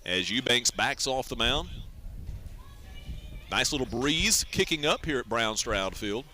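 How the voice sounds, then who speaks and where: Bright, forceful male baseball radio announcer. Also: medium pitch, expressive, measured pace. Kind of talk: live radio sports commentary.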